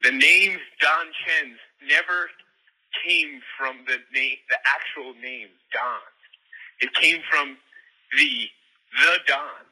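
A man's voice: fairly high pitch, lively intonation, slow, bright, forceful tone, husky, low-quality recording. A man leaving a casual, rambling voicemail while walking uphill, heard as a played-back message. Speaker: voicemail caller. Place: outdoors, walking on hilly streets.

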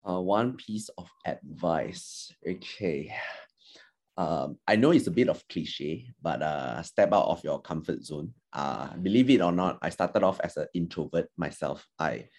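A man's voice: sits low, lively intonation, measured pace, a little mellow. A man whose first language is Chinese, an alumni panellist and trainer, giving earnest, encouraging advice with many fillers and restarts on a video call. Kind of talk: panel discussion answer.

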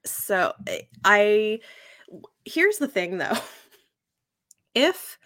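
A woman's voice: mid-range voice, sing-song, drawn-out words, a bit bright, no echo. Woman podcaster with a dry, close sound. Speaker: podcast co-host. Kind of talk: casual book discussion chat.

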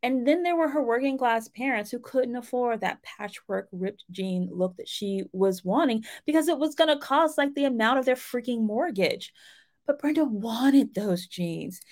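A woman's voice: fairly high pitch, a little mellow. A middle-aged woman giving an informal, explanatory lesson on writing in a chatty, self-deprecating way. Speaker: writing instructor and romance novelist.

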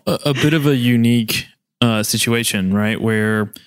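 A man's voice: medium pitch, normal speed, smooth, no room sound, studio quality. An American man from rural Kentucky, speaking as the host of an interview podcast. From rural Kentucky, USA. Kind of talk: podcast interview with scripted introduction.

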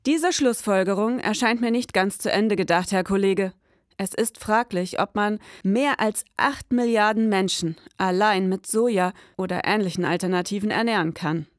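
A woman plays a precise female detective narrating a noir audio drama. Her delivery is formal and matter-of-fact, at a medium pitch. The recording is dry, with no room around it. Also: moderate pace, dry recording, lightly acted. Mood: sober, precise.

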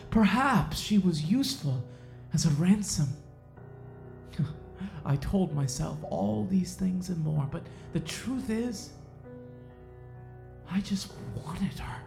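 A woman playing a self-righteous, coldly predatory male Puritan sheriff in an audio drama, deliberate and brooding, with long pauses. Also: medium pitch, vocal fry, warm tone. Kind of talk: audio drama acting, slow dramatic monologue. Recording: roomy echo under music.

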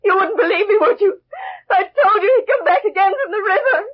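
High-voiced, tearful, frightened wife. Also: female voice, fairly quick, slight background noise. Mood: hysterical, tearful. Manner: tearful, desperate pleading. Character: frightened, pleading, distraught wife near breakdown. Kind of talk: 1940s radio melodrama acting. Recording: muffled old radio recording.

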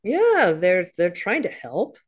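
A woman hosting an interview, warmly encouraging and enthusiastic, often laughing and affirming her guest in casual chat. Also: fairly low pitch, huge pitch swings, slow pace, breathy edge. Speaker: podcast host.

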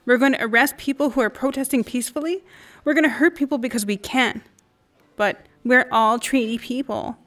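A woman's voice: fairly high pitch, fairly quick, a bit bright, close to an everyday voice. A woman playing a candid, indignant friend in a naturalistic audio drama conversation. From Canada, Saskatchewan.

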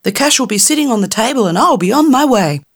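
A tiny, foul-mouthed immortal fairy , put on by a woman reading a storybook,. The voice sits very high and loud, jumping about with shrill, impatient swearing. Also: animated, quick pace, husky. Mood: cranky, cheeky.

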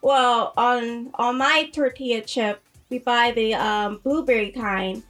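A woman chatting casually in a halting, meandering way. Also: a little high, steady tone, a bit bright, recorded from a distance, faint music behind. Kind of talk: casual chat.

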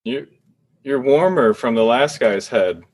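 A man's voice: medium pitch, expressive, measured pace. A man playing a mild, sympathetic wizard student in improvised comedy, speaking plainly and earnestly. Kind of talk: improvised comedy sketch acting.

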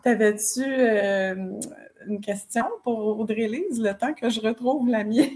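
Woman, Quebec French accent, fairly high voice, casual podcast host, joking, faint background noise. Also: a little mellow. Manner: joking, quick to laugh. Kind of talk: casual interview chat.

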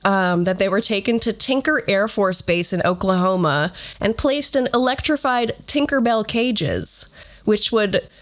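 A woman's voice: medium pitch, lively intonation, unhurried, fairly bright tone. A woman hosting a podcast, telling stories in a casual, conversational way with a sardonic edge and some swearing. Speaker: podcast host.

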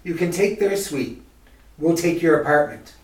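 Eager young groom acted by a man, earnest radio-drama delivery, lightish pitch, roomy off-mic sound. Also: normal speed, natural voice. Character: eager young bridegroom hatching a plan. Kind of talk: retro radio mystery-comedy acting. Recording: echoey room, distant from mic.

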